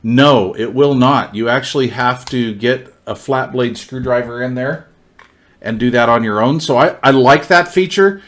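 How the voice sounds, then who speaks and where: A man's voice: medium pitch, normal speed, a bit bright. A man reviewing gear hands-on in a casual, informal, folksy way, often repeating his phrases.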